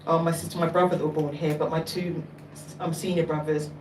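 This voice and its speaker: Woman, lowish voice, thoughtful steady talk, echoey room, background hiss. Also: brisk. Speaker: panelist. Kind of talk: open panel discussion. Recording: echoey room, distant mic, noisy.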